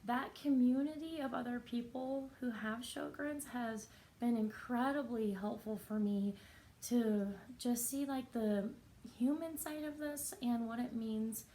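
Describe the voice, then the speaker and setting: A woman with a soft, raspy voice, talking earnestly and reflectively in a personal vlog. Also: fairly high pitch, fairly even intonation, rough recording. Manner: earnest, reflective, unhurried with pauses. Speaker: vlogger.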